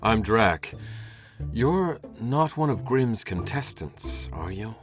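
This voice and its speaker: Game vampire: low, haughty, melodramatic, wildly swinging intonation, reverberant hall, music behind. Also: male voice, big pitch swings, slow. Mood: curious, amused. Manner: haughty, theatrical. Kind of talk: video game acting.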